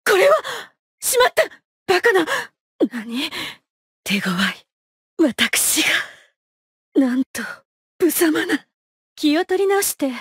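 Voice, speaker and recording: A woman's voice: sits high, expressive, slow, pauses often, no room sound, studio quality. An actress playing a poised, haughty young female knight in a fighting game, speaking with formal, imperious politeness and clipped battle cries. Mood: dismayed.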